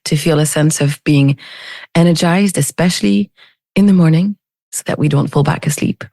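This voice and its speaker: A woman leading a guided meditation in a gentle, unhurried manner with long pauses between phrases. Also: on the low side, dry recording. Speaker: meditation teacher.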